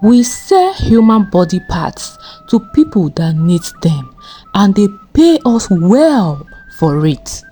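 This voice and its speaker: Woman playing a smug, slow-talking gangster. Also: mid-range voice, very animated, dark tone, husky, soft background music, full character voice. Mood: stern, secretive. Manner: confident, coaxing. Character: smug wealthy male criminal, smooth recruiter.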